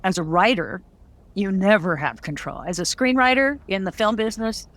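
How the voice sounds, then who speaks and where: A woman's voice: medium pitch, moderate pace, fairly bright tone. A woman hosting a casual interview, chatting with wry, self-deprecating humor as a fellow creative. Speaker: interview host; audio drama writer and creator.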